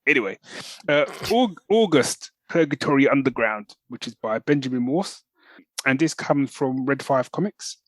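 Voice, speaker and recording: Podcast co-host: British man, middle-aged, mid-pitched voice, informal and chatty, recorded dry. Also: animated, normal speed, fairly bright tone, dry recording, low-quality recording. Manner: informal, often says man and you know. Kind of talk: casual chat.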